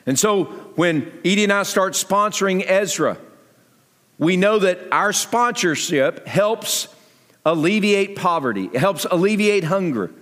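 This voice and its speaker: A male pastor addressing his congregation in deliberate, emphatic phrases with pauses, his voice echoing in a large hall. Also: high voice, expressive, fairly bright tone. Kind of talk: sermon appeal and guest interview before a congregation. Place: church sanctuary, amplified to a congregation. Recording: echoey large hall reverb.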